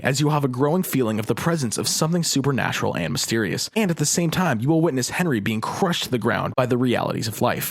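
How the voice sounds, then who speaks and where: Fast, lively, husky male narrator. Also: mid-range voice, no room sound. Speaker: video essay narrator. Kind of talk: scripted narration, review list.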